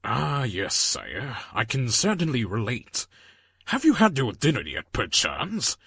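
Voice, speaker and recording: A man voicing a kind, timid elderly stallion servant with humble, courteous and halting speech in a story reading. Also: mid-range voice, wildly swooping pitch, bright, forceful tone, dry recording, studio quality, voice pushed up, exaggerated voice. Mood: gentle, courteous. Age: older. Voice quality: gravelly, raspy. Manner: slow, halting, formal and courteous. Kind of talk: acted character voice in a story reading.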